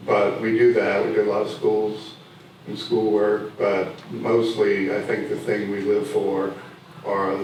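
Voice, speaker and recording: A man speaking on an architecture panel in a steady, even way with some fillers. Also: lowish voice. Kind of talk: panel talk. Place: large event room. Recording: echoey room, distant mic, faint noise.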